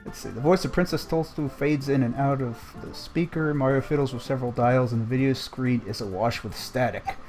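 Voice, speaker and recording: A man with a mid-pitched voice reads a story aloud to friends in a casual way. He breaks off for joking, halting asides, with faint music playing behind him. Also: a little mellow, low-quality recording. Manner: jokey, halting asides between read passages. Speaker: host reading a book aloud on a livestream. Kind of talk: casual group read-aloud with banter.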